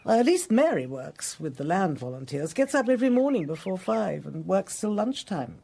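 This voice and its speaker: Older country-house matriarch, upper-class English, imperious, dramatic, swooping delivery, husky, radio drama. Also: female voice, on the low side, sing-song, normal speed, dark tone, heavily acted. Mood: effusive.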